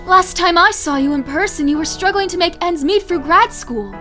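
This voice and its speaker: Woman acting gushing high-pitched glamorous flirt. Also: pushed and bright. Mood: excited, affectionate. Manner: effusive, teasing, drawn-out flourishes. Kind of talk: audio drama acting. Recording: music under the voice.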